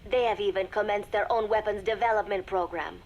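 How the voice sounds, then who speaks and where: A woman voicing a composed young female spy in a video game audio log, speaking formally with measured, deliberate diction. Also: medium pitch, steady tone, a little mellow, muffled recording, natural voice. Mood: cool, calculating. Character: composed young female spy secretly reporting to a shadowy master. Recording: noisy cassette tape recording with ambience.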